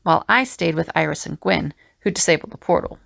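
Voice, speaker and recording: A woman playing a composed, wry adventurer who narrates an audio drama in measured storytelling. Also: sits low, gravelly, fairly bright tone, no echo, clean studio sound, full character voice.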